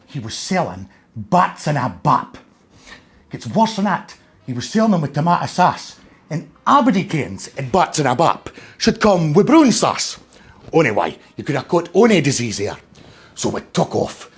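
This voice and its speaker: A man's voice: a little high, breathy edge, full character voice. A man playing a chatty, cheeky middle-aged pantomime dame, gossiping in an exaggerated way with a North-East Scottish Doric accent. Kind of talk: comic pantomime monologue to the audience.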